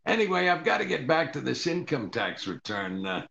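An older man playing a dry, put-upon comedian husband in a 1940s radio comedy, deadpan and hesitant, with a gravelly voice. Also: mid-range voice, normal speed, dull audio, heavily acted. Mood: dry, patient.

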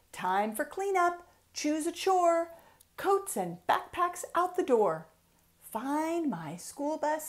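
Story-time reader: American woman, middle-aged, higher-pitched, very unhurried, expressive delivery for kids. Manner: expressive, deliberate rhyme reading with plain asides to children. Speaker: children's author reading aloud. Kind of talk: children's story time read-aloud. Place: small room at home, indoors.

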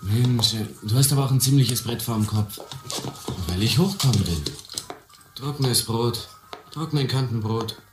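A man's voice: medium pitch, a little slow, lightly acted. An actor playing a blunt, ambitious young man from the harbour in a radio play, talking colloquially and cockily. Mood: cocky, driven. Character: streetwise, hungry, ambitious harbour youth. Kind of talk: German radio play acting. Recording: roomy, music behind.

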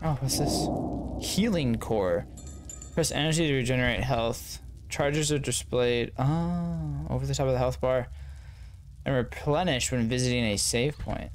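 A man's voice: mid-range voice, a little slow, faint music behind. A man giving casual gameplay commentary as a streamer, muttering to himself in slangy, sweary talk. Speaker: streamer playing a video game.

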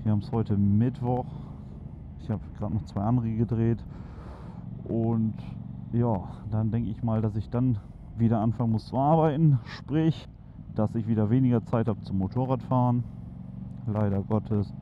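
Motovlog host: man, excitable and rambling, muffled helmet-mic sound with loud wind and traffic behind. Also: lowish voice, normal speed. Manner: chatty, enthusiastic, many fillers. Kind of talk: casual chat while riding. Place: on a motorcycle in city traffic outdoors. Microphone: helmet headset. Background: engine, wind and traffic.

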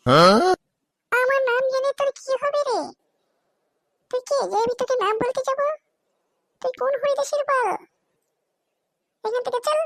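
A haughty cartoon schoolgirl with an extremely high, shrill voice. She is hot-tempered and proud, and the recording is dry. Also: very animated, normal speed, pauses often, fairly bright tone, no room sound, heavily acted. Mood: snappy, indignant. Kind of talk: comedy cartoon dialogue.

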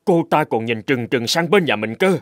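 An actor playing a stout, curious, easily alarmed middle-aged husband in a cartoon, exclaiming and muttering. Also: male voice, medium pitch, expressive, normal speed, no room sound, clean studio sound, close to an everyday voice. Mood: surprised, puzzled. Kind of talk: animated cartoon voice acting.

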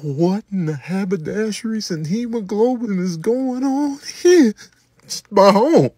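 A man performing a comedic skit monologue in a lamenting, drawn-out manner. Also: sits high, expressive, warm tone, breathy edge, no echo, studio quality.

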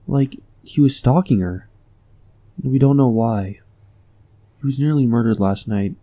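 A man's voice: mid-range voice, unhurried, mellow, natural voice. A young man acting an earnest, anxious, determined investigator in a comic dub. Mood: urgent concern. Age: young adult.

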